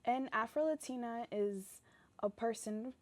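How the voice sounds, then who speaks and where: A woman's voice: on the high side, unhurried, dry recording. A young woman from the United States, a guest explaining her view in a thoughtful, earnest way. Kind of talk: casual discussion.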